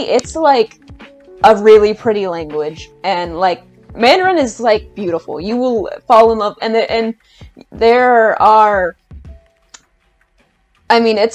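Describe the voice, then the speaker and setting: A woman giving a casual, enthusiastic video-essay rant as a YouTuber, chatty and hesitant, often restarting her sentences. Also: mid-range voice.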